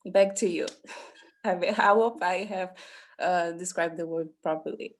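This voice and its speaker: A woman's voice: on the low side, unhurried. A woman from Mozambique giving an impromptu speech on a video call, hesitant and searching for words. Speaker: meeting participant.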